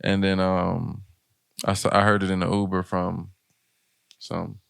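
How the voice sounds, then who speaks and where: A man's voice: sits low, monotone, a little slow, creaky, smooth, no echo, studio quality. A man co-hosting a podcast in casual banter, with a joking, blunt, slangy way of talking. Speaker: podcast co-host.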